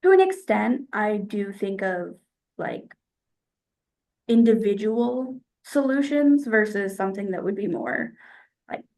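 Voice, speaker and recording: A young woman from the United States answers interview questions in a casual, thoughtful way, pausing often to find her words. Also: mid-range voice, expressive, warm tone, poor audio. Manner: thoughtful, hesitant, with fillers.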